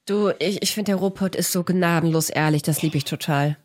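The kind of deep-voiced woman from the Ruhr you would hear as a podcast guest, recounting her own life with heartfelt emphasis. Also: brisk. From Ruhr area, Germany. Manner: effusive, emphatic wording. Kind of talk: personal storytelling in an interview.

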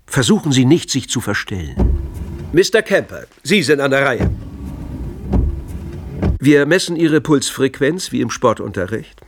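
An actor playing a formal, instructive seminar leader in a TV drama. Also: male voice, mid-range voice, sing-song, a little slow, long pauses.

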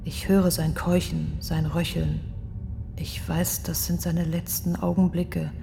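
Restrained, low-voiced grieving survivor. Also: female voice, flat delivery, vocal fry. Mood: shaken, restrained. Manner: halting, restrained, hushed in places. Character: grieving young woman architect, survivor of a terror attack. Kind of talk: radio play testimony monologue. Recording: long reverberant hall, music underneath. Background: music.

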